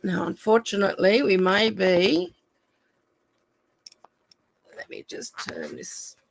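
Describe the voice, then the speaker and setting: A woman with a croaky voice, chatting casually and apologetically on a home live stream while fixing her phone camera. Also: mid-range voice, expressive, slow pace, noisy background. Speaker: live stream craft demonstrator. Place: room at home.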